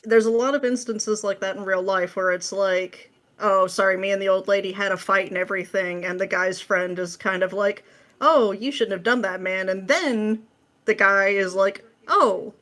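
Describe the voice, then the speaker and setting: Chatty, jokey woman with a mid-pitched voice. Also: moderate pace, a bit bright. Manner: anecdotal, jokey, often laughing as talking. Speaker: interview guest, script writer. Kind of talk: casual chat.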